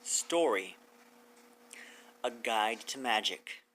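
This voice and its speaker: A woman's voice: extremely low pitch, expressive, drawn-out words, a bit bright, slight background noise. A woman reading fan fiction aloud as a scripted storybook narrator.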